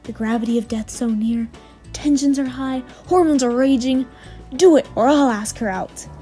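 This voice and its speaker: A woman plays a foul-mouthed, sardonic young female recruit in an audio drama. Her voice sits a little high, and she talks in casual, needling banter over music. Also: normal speed, mellow, close to an everyday voice. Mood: teasing, goading. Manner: goading, sarcastic, casual.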